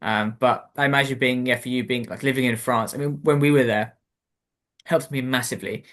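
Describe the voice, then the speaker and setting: A man who hosts a relaxed chat, his voice at a middling pitch. He rambles along easily and keeps dropping "like" and "um" as he talks. The interview stays informal and friendly in tone. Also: a little fast. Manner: rambling and chatty, full of "like" and "um". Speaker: interview host. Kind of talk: casual conversational interview.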